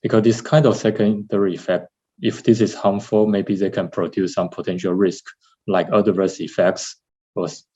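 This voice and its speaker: A middle-aged man from Taiwan with a Taiwanese accent gives a webinar slide presentation as a lab director, haltingly repeating and correcting his words. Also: deep voice, level tone, darkish tone, husky. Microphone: video call, seated at computer. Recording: dull, lo-fi webinar audio.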